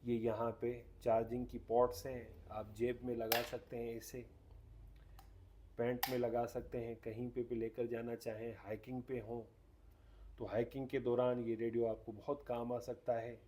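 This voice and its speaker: A man's voice: mid-range voice, little pitch movement, moderate pace, warm tone, slight background noise. A man hosting a casual, hands-on product demonstration, explaining things step by step. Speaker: host demonstrating a radio product.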